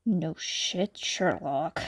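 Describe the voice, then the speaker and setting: A woman voicing a sleep-deprived, sarcastic teenage boy in an audio story, dry and deadpan. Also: sits low, extremely slow, no echo, pitched below the performer's own voice, exaggerated voice. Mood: weary sarcasm.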